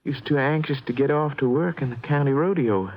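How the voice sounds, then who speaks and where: A man acts a plainspoken rancher in a vintage radio drama. His voice sits at a middle pitch with a folksy, dismissive manner, on a dull old recording. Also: a little fast, natural voice. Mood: plain, dismissive. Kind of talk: 1950s radio acting.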